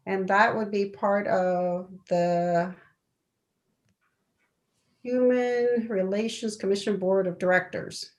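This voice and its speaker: A woman council member reading a formal committee report aloud, halting now and then to check and correct details. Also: mid-range voice, steady tone. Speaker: city council member presenting committee appointments. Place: local government meeting.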